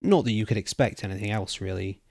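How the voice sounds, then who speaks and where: A man's voice: on the low side, quick pace, vocal fry, darkish tone, dry recording. Man narrating a story, dry close sound. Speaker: reader.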